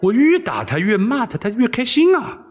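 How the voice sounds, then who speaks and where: A man's voice: medium pitch, huge pitch swings, brisk, lo-fi sound, a little hiss or hum. A man voicing a cheeky, foul-mouthed young rogue posing as a palace eunuch, brash and exclamatory, in audiobook storytelling. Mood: bewildered.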